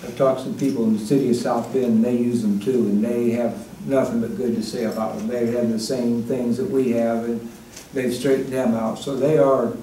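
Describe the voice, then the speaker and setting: Laid-back guy running a town council meeting, mellow voice, roomy hall, mic far off. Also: mid-range voice, flat delivery, brisk, a little hiss or hum. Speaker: council president chairing the meeting. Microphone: far room mic.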